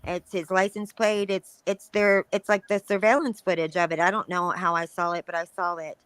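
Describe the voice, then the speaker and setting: A woman on a livestream panel, chatting casually and trailing off with fillers and loud laughs. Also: on the low side, little pitch movement, moderate pace, clean tone, no echo.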